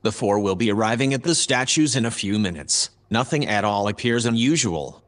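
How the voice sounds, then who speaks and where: An actor playing a polite, precise AI observer in an audio drama, speaking in a formal, measured way. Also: male voice, lowish voice, expressive, natural voice. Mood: calm, formal. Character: polite, precise male AI observer aboard a spaceship.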